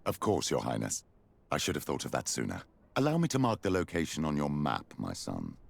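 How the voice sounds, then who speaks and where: A man playing a grave, courteous clergyman in a video game, speaking formally and with measured deference. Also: wildly swooping pitch, full character voice. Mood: courteous, deferential. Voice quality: very deep, gravelly. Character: grave, courteous old clergyman of an inquisitorial church. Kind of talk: video game cutscene acting.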